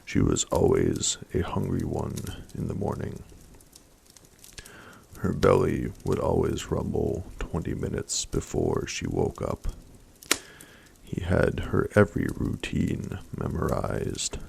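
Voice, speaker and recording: A man reading a fantasy story aloud in a hushed, mostly whispered ASMR style. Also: rumbling low, sing-song, takes it slowly, creaky. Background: faint campfire crackle.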